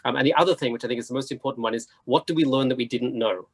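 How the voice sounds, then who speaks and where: Fast-talking, husky, emphatic man. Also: mid-range voice. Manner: emphatic, blunt, checks in with "right". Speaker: panelist, editor. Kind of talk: conversational panel discussion.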